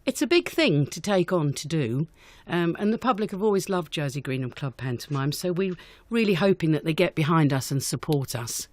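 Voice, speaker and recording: A woman's voice: low-pitched, animated, brisk, few pauses, darkish tone, no echo. Woman chatting in a dry close recording. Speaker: guest, amateur pantomime director. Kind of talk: unscripted radio interview answers.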